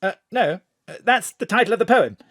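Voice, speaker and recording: Excitable, florid official. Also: male voice, sits high, fairly quick, no room sound, studio quality, heavily acted. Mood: confiding, dramatic. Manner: theatrical, flowery, flustered phrasing. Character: excitable, florid Welsh government chief, tubby middle-aged man. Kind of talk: audio drama acting over a phone call.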